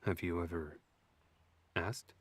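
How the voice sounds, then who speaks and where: Man voicing a wry, very low-voiced young elf noble. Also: steady tone, slow, husky, no room sound, full character voice. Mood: questioning. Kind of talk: audio drama dialogue.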